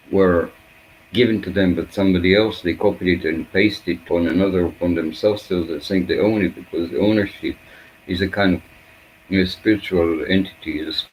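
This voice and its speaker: A man, a Hebrew speaker, giving an unscripted reflective spiritual talk in halting, fragmented phrases with searching pauses. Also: sits low, steady tone, darkish tone, a little hiss or hum, poor audio.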